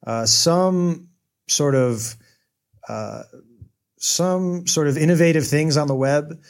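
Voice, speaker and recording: A man's voice: on the high side, slow pace. American man, hedging thoughtful guest. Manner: thoughtful, hedging with many fillers. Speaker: guest, data journalist. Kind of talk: casual interview answers.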